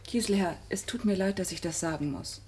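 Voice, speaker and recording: A woman's voice: lowish voice, fairly quick, darkish tone, slight background noise, natural voice. An actress in a German radio drama playing a disillusioned woman who confronts her partner with dry, cutting reproach. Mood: reproachful.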